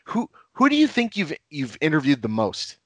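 A man's voice: fairly high pitch, wildly swooping pitch, moderate pace, dry recording. A man in his thirties hosts a podcast interview, speaking eagerly and enthusiastically with frequent stammers. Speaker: podcast host. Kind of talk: casual interview.

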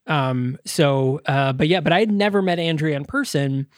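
A man, likely middle-aged, chatting casually as a podcast co-host in a halting, self-interrupting, sweary way. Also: fairly high pitch, no room sound, studio quality. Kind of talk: casual chat.

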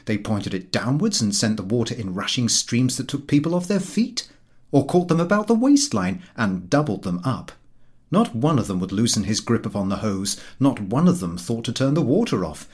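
Male narrator for comic fiction audiobook; husky edge, very lively intonation. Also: medium pitch, normal speed. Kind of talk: scripted narration of a comic novel.

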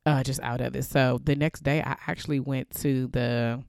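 A woman with a heavy cold talks through a casual solo podcast, her deep voice congested and hoarse. The sound is gravelly with a lot of fry, yet smooth underneath. She is chatty and loose, with many fillers. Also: fairly even intonation, moderate pace, a little mellow, no echo, studio quality. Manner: chatty, loose, laughs often, lots of fillers. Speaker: podcast host.

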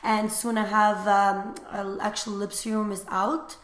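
A woman's voice: medium pitch, normal speed. Young woman chatting. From Lithuania. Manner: relaxed, chatty, many fillers. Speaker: guest, small business owner. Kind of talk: casual interview chat. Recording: echoey room.

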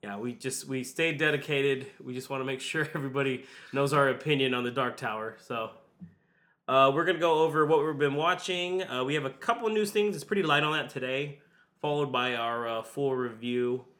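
A young man with a bright, forward voice hosts a casual chat, slightly nasal from a cold. He talks loosely and rambles through his points with plenty of fillers. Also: medium pitch, fairly quick. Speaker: podcast host.

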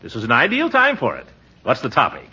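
An actor playing a pompous, exasperated middle-aged uncle in a 1940s radio comedy, blustering and scolding theatrically. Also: male voice, mid-range voice, wildly swooping pitch, fairly quick, sharp tone, husky, heavily acted. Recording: thin muffled 1940s radio recording.

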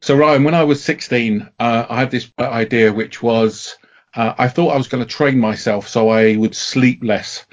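A man's voice: mid-range voice, normal speed, lo-fi sound. A middle-aged man co-hosting a podcast, chatting casually with fillers and self-deprecating humour. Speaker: podcast co-host. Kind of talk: casual chat.

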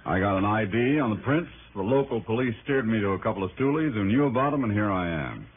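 Man as a no-fuss old radio investigator, clipped casual talk, lowish, tinny and noisy. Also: lively intonation, fast, lightly acted. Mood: businesslike. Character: plainspoken, matter-of-fact male investigator ally. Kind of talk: 1960s radio detective drama acting. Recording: tinny, noisy early-1960s radio recording.

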